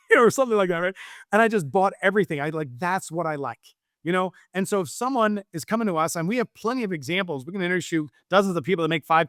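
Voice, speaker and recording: Male real estate investor as podcast guest: high voice, dry sound, conversational storyteller who punctuates points with check-in questions. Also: fairly bright tone, no room sound. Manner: anecdotal, checks in often with "right?" and "okay?". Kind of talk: casual conversational interview talk.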